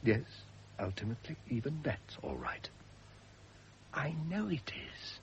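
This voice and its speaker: An actor in a radio drama playing a gentle, reflective condemned husband who faces death calmly and speaks tenderly. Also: male voice, mid-range voice, a little slow, lo-fi sound, a little hiss or hum. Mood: earnest, consoling. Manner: literary, reflective, tender, with whispered drops. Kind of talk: classic radio drama acting.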